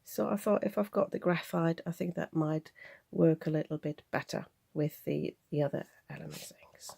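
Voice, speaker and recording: A woman's voice: deep voice, moderate pace, creaky, dark tone, slightly rough, dry recording, studio quality. A woman chatting casually and a little hesitantly as she presents craft supplies in a show-and-tell video. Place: indoors at a craft desk.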